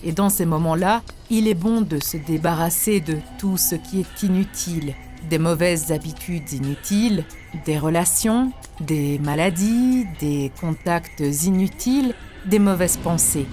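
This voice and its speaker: A woman voicing a serene, earnest spiritual teacher in an animated story, instructing in a solemn, measured manner. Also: on the low side, faint music behind, natural voice. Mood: solemn, instructive. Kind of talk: scripted didactic cartoon dialogue.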